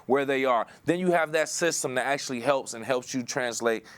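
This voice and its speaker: A man's voice: mid-range voice, fairly quick, clean tone. An American man hosting an interview, conversational and wordy, often repeating words as he works toward a question. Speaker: interview host.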